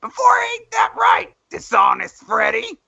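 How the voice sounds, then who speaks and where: A man voicing a shrill, distressed victim and accuser in a shrieking, whiny manner. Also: wildly swooping pitch, normal speed, creaky, pushed and bright, dull audio, exaggerated voice. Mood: indignant.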